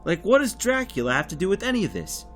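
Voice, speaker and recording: A man's voice: sits high, sing-song, quick pace, fairly bright tone. A man playing a bewildered, earnest rookie agent, hesitant and questioning, in an audio drama. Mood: unsure, earnest. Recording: music under the voice.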